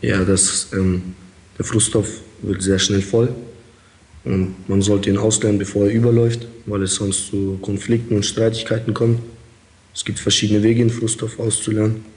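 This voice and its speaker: Young man, low flat delivery, hesitant interviewee with frequent fillers. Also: moderate pace. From Kazakhstan. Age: young adult. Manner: hesitant, many fillers, calm and plain. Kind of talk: interview answers.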